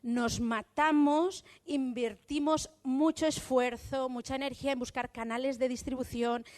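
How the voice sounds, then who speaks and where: Woman, youth worker, rambling question full of fillers, high voice. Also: fairly even intonation, measured pace, a little mellow. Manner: rambling, hesitant with many fillers. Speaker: youth services worker asking from the audience. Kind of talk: audience question at a conference. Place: conference hall.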